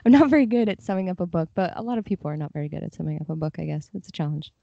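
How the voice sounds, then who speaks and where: A woman's voice: deep voice, racing, vocal fry, warm tone, no room sound. A woman talking reflectively and thoughtfully in conversation as an interview guest. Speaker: podcast guest, literary translator. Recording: slightly dull lo-fi audio.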